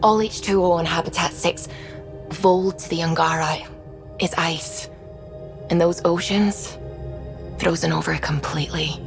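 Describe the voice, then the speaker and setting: A woman's voice: on the low side, steady tone, unhurried, creaky, pauses often, music in the background. A woman playing a matter-of-fact crew officer giving briefings in a video game cutscene. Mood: factual. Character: businesslike female crew officer briefing on conditions.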